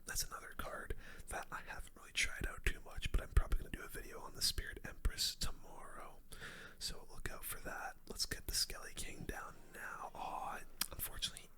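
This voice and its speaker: A person whispering casual, chatty ASMR gameplay commentary in a breathy, unvoiced voice, close to the microphone. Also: sharp tone. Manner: casual, chatty gamer slang. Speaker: gaming video host.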